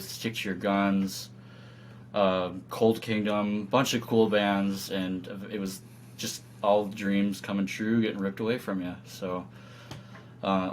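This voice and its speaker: Low-voiced man over noisy hum. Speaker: band guitarist being interviewed. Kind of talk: casual interview chat. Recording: clear background hum and noise.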